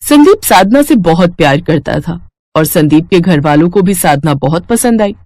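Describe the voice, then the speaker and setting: A woman's voice: lowish voice, expressive, normal speed, darkish tone, dry recording, studio quality. A woman narrating a scripted moral bedtime story.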